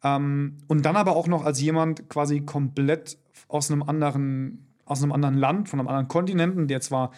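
Fairly high-voiced male host. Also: fairly even intonation, a little fast, a little mellow. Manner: fluent, probing, thinks aloud. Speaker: podcast host. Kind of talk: casual interview questions.

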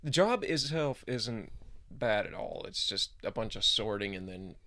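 A young man talking as a guest on a podcast, relaxed and laid-back, swearing casually. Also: on the low side, a little slow, dry recording. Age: young adult. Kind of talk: casual interview talk.

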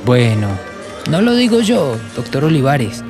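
A man's voice: medium pitch, sing-song, unhurried. A man playing an earnest, polite young student with a Colombian accent in an audio drama. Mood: earnest, explaining. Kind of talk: audiobook radio-drama acting. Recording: music behind the voice.

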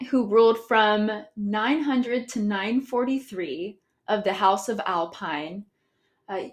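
An American woman talks through history in a chatty, informal way. She stumbles, corrects herself and laughs along the way. Her voice sits at a middle pitch. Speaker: history podcast host. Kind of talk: casual educational talk.

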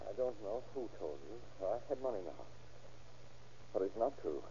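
A man's voice: fairly low pitch, expressive, normal speed, warm tone, a little hiss or hum, lightly acted. An actor playing an anxious, pleading middle-aged family man held hostage in a 1930s radio crime drama, speaking hesitantly and earnestly. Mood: desperate pleading. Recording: dull, lo-fi old radio recording.

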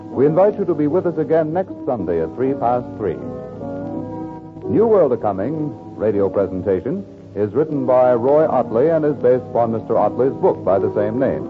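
Formal male announcer on tinny old radio. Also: mid-range voice. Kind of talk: 1940s radio announcing, reading credits. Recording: tinny 1940s radio recording with music. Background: loud music.

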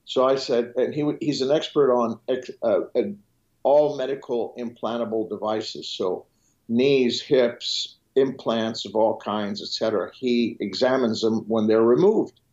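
A man's voice: medium pitch, measured pace, a little mellow, muffled recording. A physician whose first language is French, explaining in a podcast interview, restarting and listing as he goes. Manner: explanatory, with frequent restarts and trailing lists. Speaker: physician guest.

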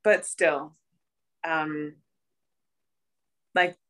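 Low raspy-ish woman, bright forceful tone, chatting on a podcast, thinking out loud. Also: fairly even intonation, extremely slow. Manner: reflective, thinks aloud with restarts. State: raspy voice from cheering. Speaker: podcast co-host. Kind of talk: casual chat.

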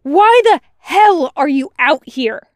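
A woman's voice: high-pitched, expressive, slow pace, darkish tone, no echo, voice pushed up. A woman voicing a bitter, guilt-ridden male soldier with clipped, volatile delivery in a dramatic story reading. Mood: furious, snapping. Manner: snapping outbursts, clipped curt replies. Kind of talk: dramatic fan fiction reading.

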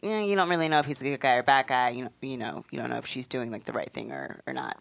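A woman's voice: very deep voice, animated, fast, gravelly. A woman in her thirties giving casual, conversational feedback in a group discussion. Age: 30s. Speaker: table-read participant giving feedback. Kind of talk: casual chat.

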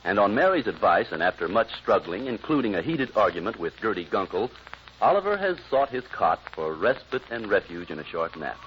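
A man's voice: fairly low pitch, sing-song, a little fast, a little hiss or hum. An American man narrating a scripted recap as an old-time radio announcer. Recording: muffled old radio recording, music behind.

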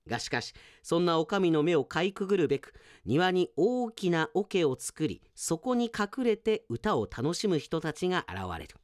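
A man hosting a radio show, chatting casually as he reads out listener mail and comments on it in an animated, chatty way. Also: fairly high pitch, dry recording, studio quality. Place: dry radio studio.

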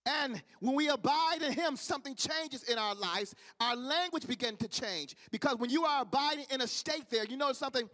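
A man's voice: very high-pitched, moderate pace, few pauses, sharp tone. A man with a Midwestern accent preaching a sermon with fervent, repetitive cadence. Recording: long reverb of a large hall.

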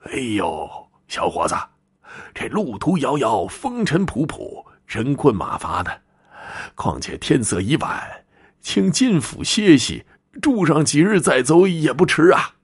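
A man voicing a genial, courteous old village patriarch in a folk tale, hospitable and stately, with drawn-out, coaxing phrases. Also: mid-range voice, very animated, husky, voice pushed up. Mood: warmly insistent.

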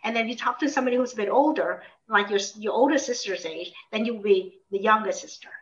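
A woman's voice: medium pitch, fast talker. A middle-aged woman from Vietnam and the United States, answering interview questions in a thoughtful, reflective, conversational way. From born in Vietnam until twelve, then United States. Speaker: interviewed memoir author. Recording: distant mic, dull muffled sound.

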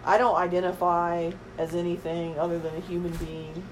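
Deep-voiced blunt middle-aged woman. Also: level tone, normal speed, slight background noise, rough recording. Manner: blunt, matter-of-fact. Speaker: guest, the host's mother. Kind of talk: casual chat.

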